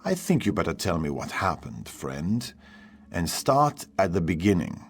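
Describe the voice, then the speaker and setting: An actor playing a shrewd, grave lord and advisor in a fantasy video game, speaking in a measured, formal way. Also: male voice, sits low, very animated, gravelly, heavily acted. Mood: curious, concerned. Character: shrewd, grave lordly male advisor to a jarl. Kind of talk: video game dialogue acting. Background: music.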